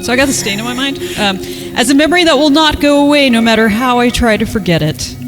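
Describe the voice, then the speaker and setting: A woman's voice: on the high side, expressive, fast, music in the background. A woman reading a story aloud with an amused, mock-dramatic delivery and casual asides. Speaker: podcast guest reader.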